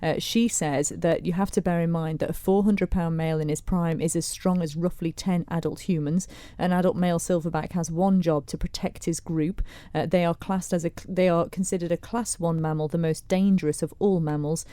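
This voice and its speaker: Female radio co-host, lowish mellow voice, conversational discussion style, dry studio sound. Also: steady tone, brisk, hardly any pauses, no echo. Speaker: radio co-presenter. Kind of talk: radio discussion, reading listener comments.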